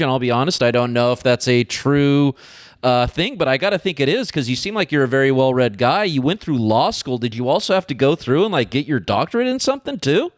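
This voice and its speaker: A man's voice: medium pitch, no room sound, clean studio sound. A man hosting a podcast, asking meandering questions in a casual, joking and sometimes crude way. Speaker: podcast host. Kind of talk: casual interview banter.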